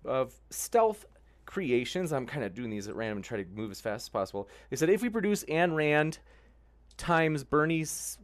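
Brisk male host reading comments. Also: fairly high pitch, big pitch swings. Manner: brisk, reads quotes slowly and carefully.

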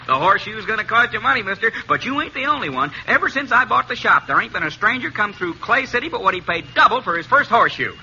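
Chatty frontier blacksmith: high-pitched and swooping, folksy, friendly braggart for an old radio western. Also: male voice, sing-song, fast talker, sharp tone, heavily acted. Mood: boastful, jovial. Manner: folksy, eager, rustic cowboy speech. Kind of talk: 1950s radio western acting. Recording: dull, noisy old radio recording.

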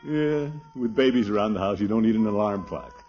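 An actor playing a blustery, pompous middle-aged uncle who chuckles and mutters in 1950s radio comedy style. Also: male voice, fairly low pitch, brisk, lightly acted. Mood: jovial, chuckling. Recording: muffled, noisy old radio recording.

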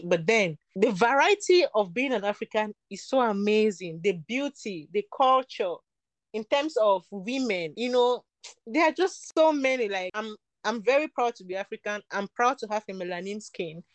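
A woman's voice: medium pitch, no room sound. A young woman from Cameroon, a beauty entrepreneur, talking candidly in an interview, emphatic and repeating her points. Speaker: guest, beauty product entrepreneur. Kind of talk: candid casual interview talk.

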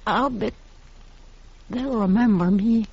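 Husky, dying frontier woman, very slow. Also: medium pitch, no room sound, heavily acted. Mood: weak, resigned. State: weak, fading. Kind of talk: 1950s radio western acting. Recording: muffled 1950s radio recording.

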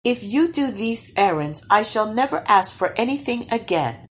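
A woman's voice: a little high, lively intonation, moderate pace, voice pushed up, close to an everyday voice, low-quality recording. A woman voicing a clever, bold young girl in a storybook, speaking in a sweet, wheedling sing-song. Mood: sweetly coaxing. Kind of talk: storybook character voice.

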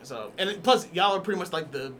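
A man's voice: on the high side, lively intonation, fast talker, very noisy. A man co-hosting a casual podcast, speaking earnestly and rambling, with self-mocking laughs. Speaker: podcast co-host. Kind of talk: casual chat.

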